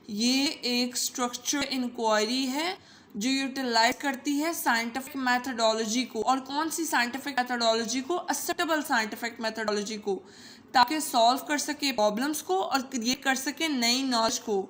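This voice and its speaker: Female online tutor, Pakistani accent, fairly high voice processed by a voice changer, playful informal explainer. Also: fairly bright tone. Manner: playful, code-switching, conversational. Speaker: teacher and channel host.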